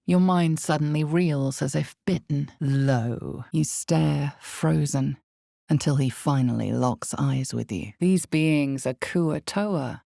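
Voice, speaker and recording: Very deep, creaky dark fantasy game narrator. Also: female voice, dry recording, studio quality, exaggerated voice. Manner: measured, deliberate, brooding, theatrical. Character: omniscient female narrator of a dark fantasy game, grave and ominous. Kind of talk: dramatic scripted second-person fantasy narration.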